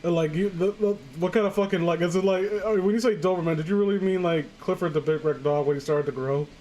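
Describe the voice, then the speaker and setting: A man's voice: sits high, quick pace, mellow, low-quality recording. A man hosting a casual, comedic read-aloud of a story, stumbling over his words and going off on tangents. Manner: stumbles over words and digresses while reading. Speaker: host reading a horror story aloud with commentary. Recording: clear background noise.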